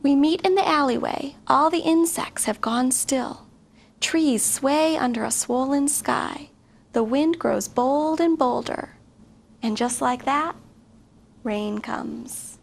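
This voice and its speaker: A woman's voice: high voice, slow, gravelly. A woman reading a picture book aloud expressively to children. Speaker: guest story reader. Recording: faint background noise.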